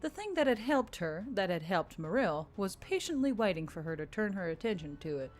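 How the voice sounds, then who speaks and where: A woman's voice: medium pitch, very animated, fairly quick. Woman narrating a story over music. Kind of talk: scripted dramatic narration.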